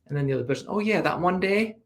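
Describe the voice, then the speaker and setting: A man's voice: high-pitched, expressive, racing. A man from Iceland, a native Icelandic speaker, talking casually in English as an interview guest. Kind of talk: casual chat.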